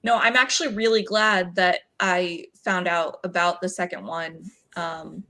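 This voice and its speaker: A woman's voice: on the low side, fairly even intonation, measured pace, sharp tone. A woman guest on a livestream, chatting casually about books in a conversational way peppered with "like". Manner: conversational, frequent use of "like". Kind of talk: casual book chat.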